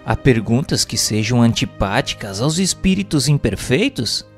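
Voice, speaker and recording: A man's voice: medium pitch, sing-song, moderate pace, lightly acted. A man with a Brazilian accent playing an earnest student who puts formal, studied questions in a scripted radio drama. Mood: earnest, inquiring. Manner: formal, inquiring. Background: music.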